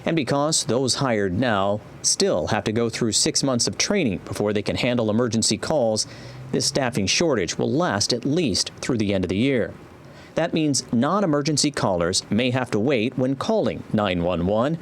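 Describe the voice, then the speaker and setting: A man's voice: mid-range voice, lively intonation, moderate pace. American male TV reporter narrating, faint background noise. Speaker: TV news reporter. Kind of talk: scripted news narration.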